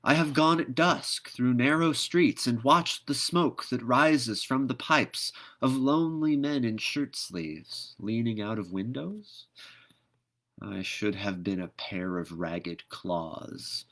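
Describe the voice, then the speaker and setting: A man gives an expressive poetry reading at a slow, deliberate pace. His pitch sits in an ordinary male middle range, and he shapes the phrases theatrically, leaving dramatic pauses between them. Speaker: poetry reader.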